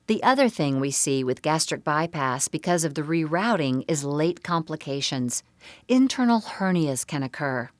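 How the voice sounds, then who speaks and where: A woman reading scripted medical seminar narration in a measured, explanatory way. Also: low voice, no echo.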